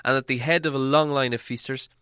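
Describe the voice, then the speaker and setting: A man narrating a storybook from a script in a dramatic, expressive storytelling manner. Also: medium pitch, quick pace, smooth, no room sound, studio quality. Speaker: audiobook narrator.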